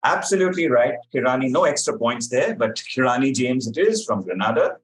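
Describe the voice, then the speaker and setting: A man presents a quiz as its question reader. He speaks in a measured, articulate voice, setting out clues and explanations for the contestants. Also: medium pitch, very animated, rough recording. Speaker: quiz master.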